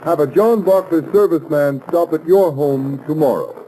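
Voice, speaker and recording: An American man announcing on 1930s radio in a formal, measured manner. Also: fairly high pitch, loud music behind the voice, poor audio. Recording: vintage 1930s radio recording.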